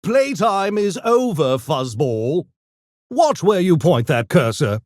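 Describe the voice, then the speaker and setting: Video game gremlin, erudite and impish, high voice, close dry sound, pompous mock-courteous phrasing. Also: male voice, big pitch swings, takes it slowly, a little mellow, no room sound. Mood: smug, urbane. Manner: posh, pompous, theatrical, mock-polite diction. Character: urbane genteel mischievous gremlin, a genetically engineered intellectual creature. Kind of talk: video game character voice lines.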